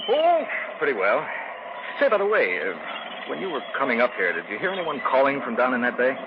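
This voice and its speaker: Earnest American male writer, highly animated mid-range voice, dramatic vintage radio horror, heavy background noise. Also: brisk. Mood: puzzled. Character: earnest male writer recounting an eerie tale. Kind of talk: 1940s-50s American radio acting. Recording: noisy, muffled old radio recording.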